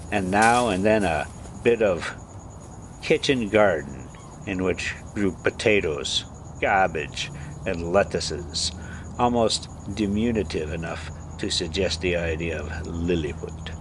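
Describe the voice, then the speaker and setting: A man reading a classic story aloud as scripted narration, with deliberate phrasing and dramatic emphasis. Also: fairly low pitch, slight background noise. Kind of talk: scripted audiobook-style narration.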